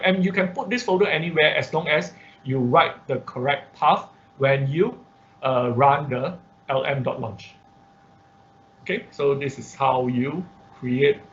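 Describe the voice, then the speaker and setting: A man teaches an informal live online tutorial, explaining haltingly with repetition and frequent check-in questions. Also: medium pitch, fairly bright tone. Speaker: instructor of an online robotics class. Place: online class call. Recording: dull, muffled online-class audio.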